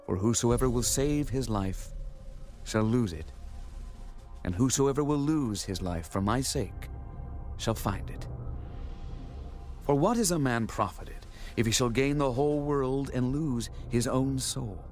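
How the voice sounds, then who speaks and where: Messianic teacher played by a man: medium-pitched, solemn, commanding, soft music beneath archaic scripture lines. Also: animated, a little slow, pauses often, close to an everyday voice. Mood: grave teaching. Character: Jesus, authoritative adult male teacher and messiah. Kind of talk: dramatized scripture acting, archaic English.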